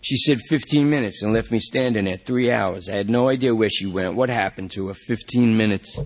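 A man's voice: fairly low pitch, a little fast. A man doing a whiny, rambling junkie. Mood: pleading. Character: jittery rambling male junkie under arrest.

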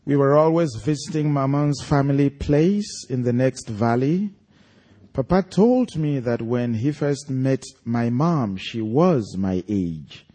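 A man's voice: medium pitch, warm tone. A man with a Nigerian accent, an author speaking and reading aloud to an audience at a live event. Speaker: author reading from his own story at an event.

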